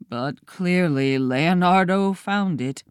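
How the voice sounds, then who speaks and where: A woman voicing a gloomy, defeated male pig in a storybook, speaking in a mournful, hushed manner. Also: on the low side, slow pace, clear, resonant tone, no echo, studio quality. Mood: sad, apologetic.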